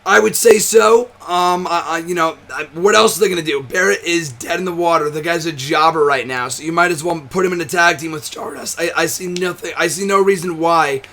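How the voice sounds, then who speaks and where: A hoarse, tired young man hosting a wrestling show, chatting casually to camera with a nearly gone voice, rambling, swearing and restarting often. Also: fairly high pitch, a little fast, few pauses, pushed and bright. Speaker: wrestling commentary host. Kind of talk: casual to-camera vlog chat.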